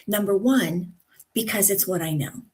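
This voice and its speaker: A woman giving communication tips in a deliberate, instructive way, seated at a desk and speaking into a webcam mic. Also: medium pitch, darkish tone. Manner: instructive, deliberate, with emphatic pauses. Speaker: communication coach. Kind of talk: live-streamed podcast talk. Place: seated indoors at a computer.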